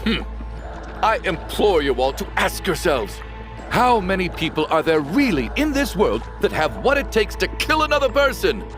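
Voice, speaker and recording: Pompous, wildly swooping high-voiced windbag. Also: male voice, sing-song, moderate pace. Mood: insinuating. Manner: pompous, theatrical, accusatory. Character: pompous, blustering, suspicious rich windbag. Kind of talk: video game voice acting. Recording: background music.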